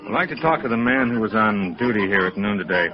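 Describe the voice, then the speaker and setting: An actor playing a businesslike police deputy in 1950s radio drama, with a terse, official manner. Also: male voice, fairly low pitch, expressive, fast talker, natural voice. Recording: dull vintage radio recording with music.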